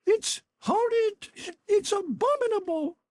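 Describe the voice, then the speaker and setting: A man reading an audiobook, voicing a passionate young noblewoman with a shrill, pleading voice. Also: unhurried, darkish tone, no room sound. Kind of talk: audiobook character voice.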